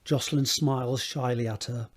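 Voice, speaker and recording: A man narrating a scripted drama, setting each scene in a measured, descriptive read with a husky voice. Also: mid-range voice, fairly even intonation.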